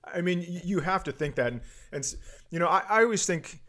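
A man's voice: high voice, lively intonation, brisk, pushed and bright. A middle-aged man co-hosting a comedy podcast in casual banter, telling crude stories and acting out the lines he quotes.

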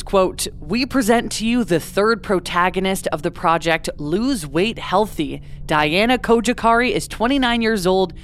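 A woman tells a true-crime story in a relaxed, conversational way. She slips in casual asides as she narrates. Her voice sits in an ordinary middle pitch. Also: slight background noise. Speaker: podcast host.

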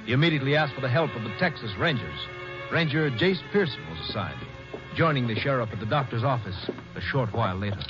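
A man's voice: mid-range voice, lively intonation, quick pace, close to an everyday voice. An American man narrating a 1950s radio crime drama as its announcer, in scripted narration. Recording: muffled old radio recording under music.

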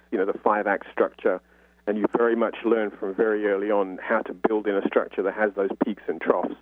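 A man's voice: fairly low pitch, husky, no echo. A man from North London with a London accent, answering radio interview questions as a guest novelist in a reflective, explanatory way over a phone-like line. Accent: London English. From North London, England. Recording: phone-like, muffled narrow-band line.

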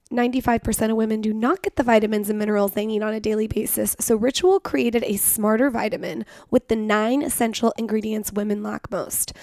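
A woman with a slightly hoarse voice, chatting casually as a podcast co-host and reading personal ads. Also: on the high side, no echo, studio quality. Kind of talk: casual chat and personal ad reads.

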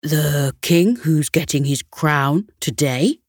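Woman playing a wide-eyed storybook boy dog, unhurried. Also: sits low, darkish tone, no room sound, studio quality, heavily acted. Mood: amazed. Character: friendly, wide-eyed male pet dog.

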